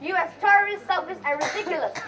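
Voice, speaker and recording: A woman's voice: very high voice, fast talker, a crowd in the background. A young woman playing a scheming, bickering father in an amateur stage farce. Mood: theatrical, resigned. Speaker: student actor. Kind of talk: amateur stage play acting. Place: school stage, recorded from afar. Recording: distant mic, noisy room.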